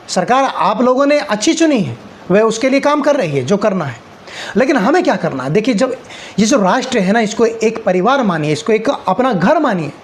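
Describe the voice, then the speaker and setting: A man delivering a patriotic spoken address in an earnest, exhorting manner, with deliberate pauses and repeated phrases. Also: sits high. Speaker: speaker addressing viewers on a patriotic occasion.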